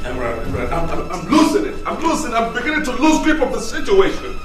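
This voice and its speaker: A desperate, possessive lover in a film drama. His voice sits high and leaps all over the place, lurching from begging to yelling. A loud film score runs underneath. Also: wildly swooping pitch, fast talker, music in the background. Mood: anxious. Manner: swings between pleading and shouting. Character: desperate possessive man clinging to his love. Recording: film score playing behind.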